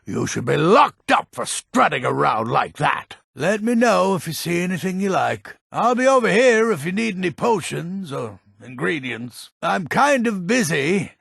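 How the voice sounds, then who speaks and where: A gruff old fantasy game villager, selling potions like a true curmudgeon. His voice is heavily gravelled and very husky. He takes his time over the words, at an unhurried pace. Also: high voice, big pitch swings, fairly bright tone, dry recording, studio quality, heavily acted. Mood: scolding, irritated, then welcoming. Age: older. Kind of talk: video game character lines.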